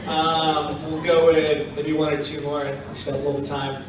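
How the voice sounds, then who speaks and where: A man moderating a convention panel, brisk as he picks audience members for questions. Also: fairly high pitch, level tone. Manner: brisk, directs the crowd. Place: large convention hall. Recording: echoey hall, far off, crowd noise.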